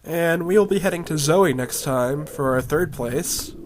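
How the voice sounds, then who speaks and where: A man with a hoarse, raspy voice chatting casually as he explores a virtual world. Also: a little high, a little slow, slight background noise. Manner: rambling, chatty, with coughs and laughs. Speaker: video game vlogger. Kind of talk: casual commentary while exploring a game.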